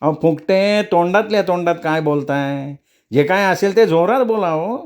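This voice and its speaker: A man's voice: sits high, moderate pace, darkish tone, pitched above the performer's own voice, lightly acted. A man voicing a cranky, nasal, half-deaf old writer who is brusque and pompous in a comic story. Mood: querulous. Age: older. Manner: brusque, demanding people speak up.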